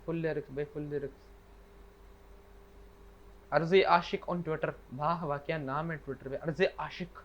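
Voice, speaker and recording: Higher-voiced man on a lo-fi call. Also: steady tone, measured pace, pauses often. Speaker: podcast co-host. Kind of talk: casual chat, reciting couplets. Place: remote internet call. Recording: dull lo-fi online call, faint noise.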